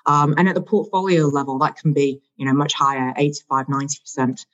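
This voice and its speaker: An investment analyst guest explaining infrastructure investing, often pausing with "you know" fillers. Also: mid-range voice, level tone, fairly bright tone, dull audio. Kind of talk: conversational explanation.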